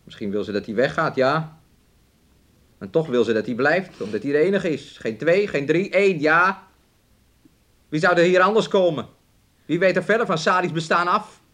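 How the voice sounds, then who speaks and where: A man's voice: on the high side, normal speed, pauses often, a bit bright, natural voice. An actor in a Dutch radio play playing a controlling, possessive man who coaxes and needles with repeated questions. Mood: brooding, sullen, then insistent, needling. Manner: coaxing, needling, repetitive questions, refers to himself in third person. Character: possessive, controlling man keeping his sick fiancée hidden away.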